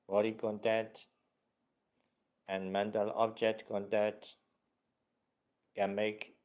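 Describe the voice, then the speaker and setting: A male meditation teacher with a low voice guides listeners very slowly. He delivers short, halting phrases, often repeating them in a deliberate way. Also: monotone, darkish tone.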